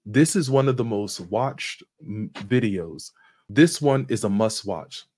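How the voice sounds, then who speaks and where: A man in his forties hosting a channel, reading a scripted sermon review from a home office with small slips and restarts. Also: on the low side. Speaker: channel host.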